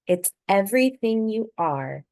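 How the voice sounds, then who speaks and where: A woman's voice: medium pitch, sing-song, a little slow, darkish tone. A woman voicing a doting, reassuring mother in a storybook, gushing and emphatic.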